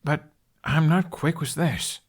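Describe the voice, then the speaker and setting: A man voicing a sarcastic, doubtful young male student in an audiobook, protesting and dryly deadpan. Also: a little high, slow pace, pitched above the performer's own voice.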